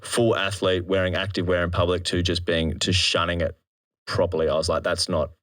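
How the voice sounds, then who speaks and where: An Australian man chatting casually as an interview guest, retelling things in an animated, slangy, sweary way and acting out his thoughts. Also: deep voice, brisk. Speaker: interview guest, drum and bass MC. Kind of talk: casual anecdotal chat.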